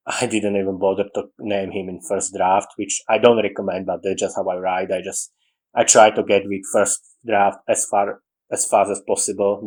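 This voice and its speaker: Slovak guy rambling about his writing, lowish voice, drops little words, restarts a lot. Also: darkish tone, low-quality recording. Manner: rambling, with frequent restarts and dropped articles. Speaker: writing podcaster. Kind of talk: casual monologue on story writing.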